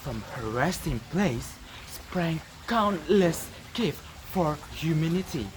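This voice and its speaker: A teenage student from Indonesia with an Indonesian accent tells a folktale dramatically, with deliberate, emphatic pauses. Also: mid-range voice, lively intonation, a little hiss or hum. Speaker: student storyteller. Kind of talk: dramatic oral storytelling for a competition.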